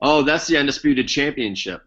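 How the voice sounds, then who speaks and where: A man's voice: mid-range voice, lively intonation, fairly quick, a bit bright. A man wisecracking dryly in a group video chat. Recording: dull lo-fi call audio.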